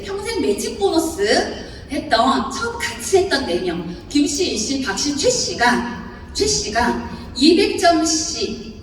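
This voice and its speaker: A high-pitched woman with a Chungcheong accent lectures in a large hall. Her voice swings widely up and down as she laughs and quizzes the audience. She sounds distant, and a long echo hangs on every word. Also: slight background noise. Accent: Chungcheong Korean. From Seocheon, South Chungcheong, Korea. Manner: lively, laughing often, asks the audience questions. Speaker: seminar speaker. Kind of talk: seminar lecture explaining a bonus chart. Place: large lecture hall before about 800 people. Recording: echoey hall recording from far off.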